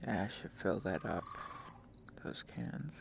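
A man giving casual live gameplay commentary as a streamer, halting and talking in short phrases, often mumbling to himself. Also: low voice, animated, vocal fry. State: frequent coughing. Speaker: game streamer. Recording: noisy home stream microphone.

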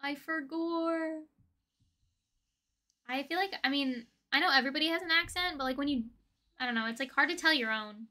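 A young woman hosting a livestream, chatting casually with viewers in a playful, slangy, expressive way. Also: high-pitched, moderate pace, pauses often. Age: young adult. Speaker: livestream host. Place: indoor room with desk and shelves of collectibles.

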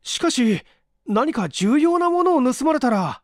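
Man acting an earnest young student, high-pitched. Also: very animated, unhurried, dry recording, clean studio sound, exaggerated voice. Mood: anxious, polite. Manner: polite, urgent, questioning. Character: earnest, eager young male student assistant.

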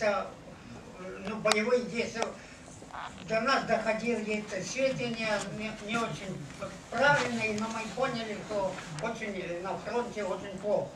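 A man in his eighties or older, a veteran recounting his memories to an audience, haltingly and searching for words. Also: high-pitched. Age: over 80. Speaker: war veteran giving testimony. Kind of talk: unscripted personal recollection to an audience. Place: meeting hall with seated audience. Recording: echoey hall, noisy, distant camera mic.

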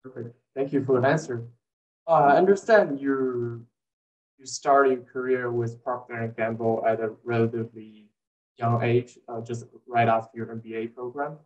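A man's voice: medium pitch, fairly even intonation, normal speed, a little mellow, recorded from a distance, dull audio. A young man hosting an interview over a video call, polite and a little hesitant when he asks his questions. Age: young adult. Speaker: host. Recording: echoey room, off-mic.

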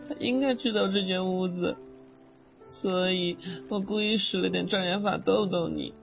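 A sweet, naive young boy ghost played by a man, high-pitched and childlike, pouting like a kid. It is radio-play acting with a slightly dull sound and faint music. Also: fairly even intonation, normal speed, gravelly. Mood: wistful. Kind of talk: Mandarin audio drama acting.

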